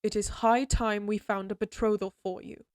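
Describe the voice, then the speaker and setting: A woman's voice: medium pitch, little pitch movement, normal speed, no room sound, studio quality, close to an everyday voice. A woman playing a stern, middle-aged noblewoman and mother in a fantasy audio drama, speaking in a formal, commanding way. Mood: coolly insistent. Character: stern, imperious noble mother of a lady knight. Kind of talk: scripted fantasy audio roleplay acting.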